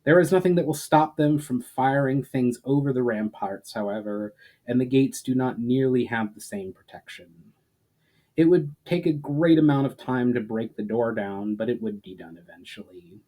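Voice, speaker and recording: A man voicing a grave, watchful elf warrior-mage in a storybook reading, speaking formally, grimly and deliberately. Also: medium pitch, expressive, dark tone, natural voice, low-quality recording.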